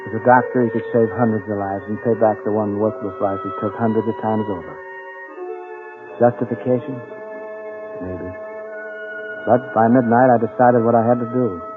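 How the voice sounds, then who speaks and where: Male actor as guilt-ridden veteran cop and father for vintage radio drama: lowish voice, defensive, tense, faint music behind. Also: fairly even intonation, normal speed, long pauses, narrow, tinny audio, close to an everyday voice. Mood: grave, confessional. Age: middle-aged. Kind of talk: old-time radio drama acting.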